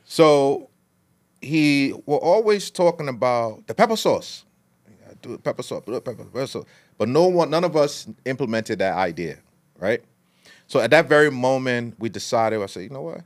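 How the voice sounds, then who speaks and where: A man's voice: mid-range voice, animated, moderate pace. A middle-aged man from Liberia, telling his story as a podcast guest in a casual, long-winded way with street slang. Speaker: guest, food entrepreneur.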